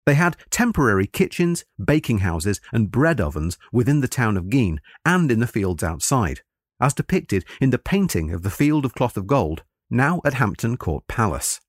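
Man narrating history with lively, varied intonation. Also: medium pitch, moderate pace, slightly rough, no room sound, studio quality. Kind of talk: scripted narration of a history account.

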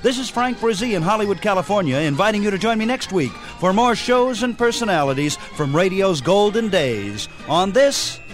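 A man's voice: high-pitched, very animated, normal speed, hardly any pauses. A man with an American accent hosting a radio show, reading a scripted announcement and sign-off. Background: music.

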